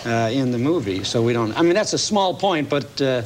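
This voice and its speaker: An American man giving a casual, halting, explanatory movie review as a guest on a morning TV show. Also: mid-range voice, expressive, crowd noise. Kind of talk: casual interview commentary. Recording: slightly noisy TV broadcast recording.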